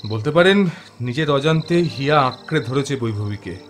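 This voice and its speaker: A man acting as an intense young paranormal investigator in a horror audio play. His mid-pitched male voice is grave and deliberate, sure of itself, over faint music. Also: lively intonation, lightly acted. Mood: grave, explaining. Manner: grave, deliberate explaining, mixes in English words.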